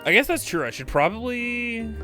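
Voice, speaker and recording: A man's voice: very high voice, very animated, moderate pace, sharp tone, faint music behind. A man hosting a game livestream, chatting casually and exclaiming often, with sweary asides. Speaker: livestream host.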